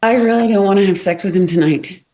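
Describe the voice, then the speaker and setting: An actress playing a young bride who blurts confessions, flustered and halting, in a table read. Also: female voice, mid-range voice, warm tone, natural voice. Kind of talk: scripted table read acting.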